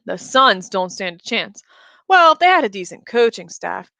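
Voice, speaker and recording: A woman's voice: medium pitch, big pitch swings, a little slow, vocal fry, sharp tone, dry recording, exaggerated voice. A woman playing a detached, businesslike surgeon in a story, talking in a clipped, matter-of-fact way. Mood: casual, detached.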